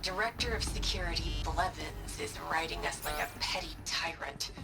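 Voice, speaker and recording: An actress playing an exasperated worker in a video game, making a sardonic, complaining recorded message. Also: female voice, deep voice, normal speed, creaky, over loud music. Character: exasperated female worker griping about her controlling boss.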